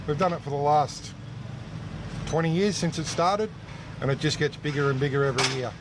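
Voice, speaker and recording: A man chats informally as an interviewed event worker. His voice is a touch high, and distinct urban outdoor noise runs behind it. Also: fairly even intonation, brisk. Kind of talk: casual interview chat. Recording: noisy outdoor location with vehicle ambience.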